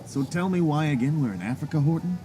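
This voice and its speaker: An actor playing an earnest, skeptical young soldier hero in an audio drama, dry and questioning. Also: male voice, fairly high pitch, lively intonation, quick pace, lightly acted. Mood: dry skepticism. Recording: music under the voice.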